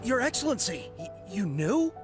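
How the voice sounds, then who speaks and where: A desperate male soldier in a video game, devoted and impassioned. He pleads earnestly with wide swings of pitch, over prominent music. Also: big pitch swings, normal speed. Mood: shaken. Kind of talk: scripted video game voice acting.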